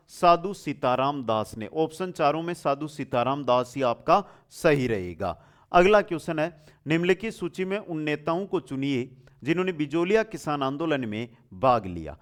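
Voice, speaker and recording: A man runs a quiz-style exam lesson. His voice sits on the high side and moves up and down in a very lively way. He puts questions emphatically and repeats the key words so they stick. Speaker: exam coaching teacher.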